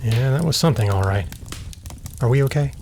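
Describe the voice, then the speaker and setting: A man's voice: medium pitch, expressive, a little fast, dark tone, a little hiss or hum, natural voice. A man playing an earnest, apologetic lover in an audio drama. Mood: apologetic, tender.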